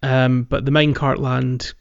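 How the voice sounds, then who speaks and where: A man hosting a podcast, chatting casually about a video game in a hesitant, halting way with repeated words. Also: medium pitch, level tone, vocal fry, smooth, no room sound, highs cut off. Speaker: podcast host.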